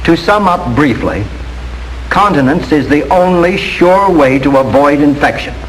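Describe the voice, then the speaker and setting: An American man reads a scripted 1940s instructional film narration in a formal, measured, instructive manner. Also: fairly high pitch, animated. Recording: noisy 1940s film soundtrack with hiss.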